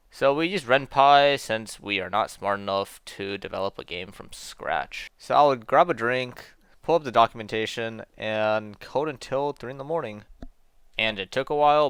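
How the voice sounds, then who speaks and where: A man's voice: mid-range voice, a bit bright, smooth, dry recording. A young man giving scripted video narration in a casual, self-deprecating way. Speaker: amateur game developer narrating a video.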